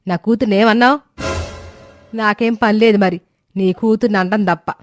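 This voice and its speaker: A woman voicing a quarrelsome, nagging older mother-in-law in an animated moral story. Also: mid-range voice, normal speed, soft background music, close to an everyday voice. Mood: bossy. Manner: sharp-tongued, nagging.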